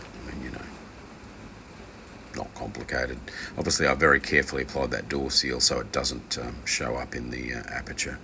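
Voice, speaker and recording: An extremely low-pitched man with a bright edge to his voice talks through a hands-on task as an instructor. His delivery is informal and unscripted, wandering and hesitant, with dry asides. Faint noise sits behind him. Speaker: instructor from a loudspeaker kit maker.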